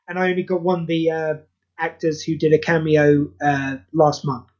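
A man's voice: a little high, level tone, moderate pace, a little mellow. A man being interviewed as a writer, chatting casually in a rambling way over an internet call. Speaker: interview guest, audio drama writer. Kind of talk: casual chat. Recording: slightly dull internet call.

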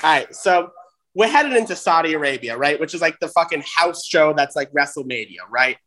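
A man hosting a comedy wrestling show, ranting with heavy profanity and excitement. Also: fairly high pitch, a little fast, some chatter behind, a little hiss or hum. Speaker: host.

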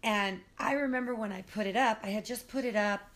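Hoarse middle-aged woman rambling through a spooky story at home, recorded on a phone. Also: mid-range voice, fairly quick, fairly bright tone. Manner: rambling, with many "um"s and self-corrections. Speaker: guest storyteller. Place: apartment kitchen at home.

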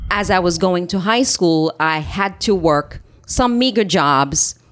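Low-voiced woman telling her story. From Haiti. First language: Haitian Creole. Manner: earnest, measured storytelling. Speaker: interview guest, business founder.

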